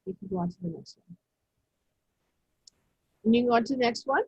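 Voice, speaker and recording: A woman presenting a teacher-training webinar, talking in a measured way with many fillers and restarts. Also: on the high side, expressive, muffled recording, slight background noise. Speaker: education presenter. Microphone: video call.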